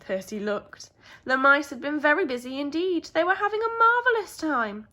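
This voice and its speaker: A woman's voice: high voice, expressive, moderate pace, sharp tone. A woman with a British accent, a primary school teacher telling a story expressively to young children.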